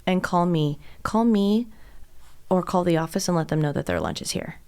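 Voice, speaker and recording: A woman's voice: fairly low pitch, very animated, moderate pace, darkish tone, clean tone. An American woman in her thirties chatting casually as a podcast co-host, emphatic and playful.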